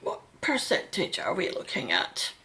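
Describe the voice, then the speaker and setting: A woman's voice: on the low side, wildly swooping pitch, unhurried, creaky, sharp tone, exaggerated voice. A woman playing an anxious, protective mother in a radio play. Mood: uneasy.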